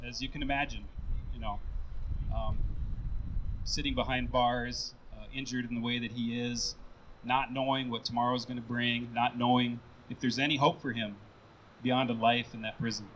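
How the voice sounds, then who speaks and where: An American man introducing speakers at an outdoor public rally, reading prepared remarks in a measured, occasionally hesitant way. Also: mid-range voice, little pitch movement, a bit bright. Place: outdoors at a downtown courthouse rally. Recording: noisy outdoor recording with traffic. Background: traffic and outdoor ambience.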